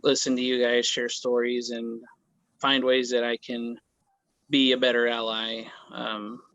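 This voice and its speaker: A man with an American accent talking casually and haltingly as a panelist, on dull lo-fi call audio. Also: medium pitch, monotone, a bit bright, no echo. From California, United States. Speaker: roundtable panelist, theater performer. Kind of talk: casual roundtable talk.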